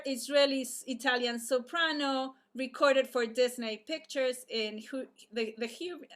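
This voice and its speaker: Fairly high-voiced woman on a video call, lots of ums and restarts, introducing a guest. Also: bright, forceful tone. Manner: halting, many fillers and restarts. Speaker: arts and culture programmer introducing a guest. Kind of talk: online event introduction.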